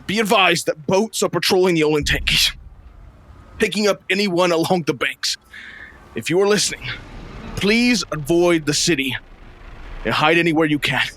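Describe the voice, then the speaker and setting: A man's voice: on the high side, moderate pace, long pauses, fairly bright tone, close to an everyday voice. A man playing a grave, urgent radio news reporter holding his post during an invasion, in old-time radio drama style. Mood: urgent, alarmed.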